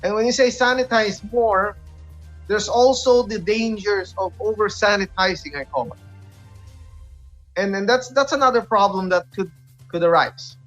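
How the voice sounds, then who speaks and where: Guy chatting on radio, pretty high voice, repeats himself, kinda muffled audio w music behind. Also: moderate pace, fairly bright tone. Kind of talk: casual radio discussion. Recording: dull lo-fi sound, music behind.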